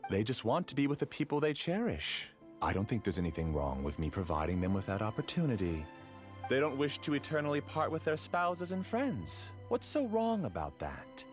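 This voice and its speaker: A man's voice: medium pitch, wildly swooping pitch, normal speed. An actor playing a self-righteous male villain who rules a dream world, theatrical and mocking in a video game. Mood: pleading. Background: faint game music.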